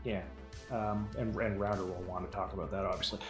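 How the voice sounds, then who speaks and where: A man's voice: low voice, steady tone, fairly quick, reverberant, soft background music. A man chatting casually as a podcast co-host, enthusiastic and given to swearing. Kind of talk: casual chat.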